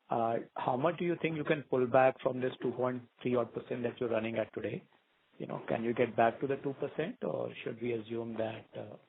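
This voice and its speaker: A creaky-voiced man speaks over a narrow, slightly noisy phone line. He is an analyst caller putting questions on an earnings call in an unscripted way. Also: mid-range voice.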